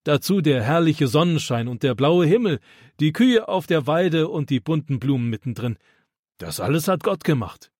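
Kindly old grandpa in a children's storybook, gentle and instructive, done by the male narrator. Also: on the high side, very animated, normal speed, dry recording, studio quality, pitched above the performer's own voice. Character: kind, pious old grandfather on a hike.

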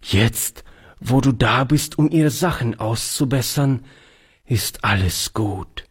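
A man voicing a kindly old grandfather who speaks in an earnest, gentle, tremulous way. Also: mid-range voice, takes it slowly, slightly rough. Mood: tender.

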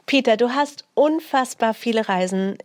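A woman's voice: fairly high pitch, normal speed, dry recording. A woman hosting a show, welcoming a guest with a prepared introduction and questions. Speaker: host. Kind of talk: scripted show welcome and interview.

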